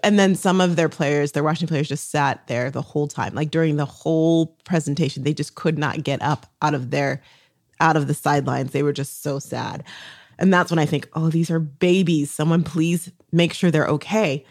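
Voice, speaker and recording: Woman coach hosting her own podcast, a bit low-voiced, talks eagerly and circles around her points. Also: normal speed, a little mellow. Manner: enthusiastic, rambling, many restarts. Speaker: podcast host and social selling coach. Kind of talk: podcast chat.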